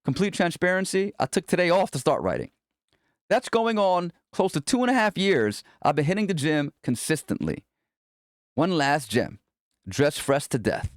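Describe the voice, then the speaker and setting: A man's voice: a little high, big pitch swings, moderate pace, no echo, clean studio sound. A man reading his own self-help book aloud in a conversational, motivational way, speaking directly to the listener. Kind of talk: scripted self-help audiobook narration.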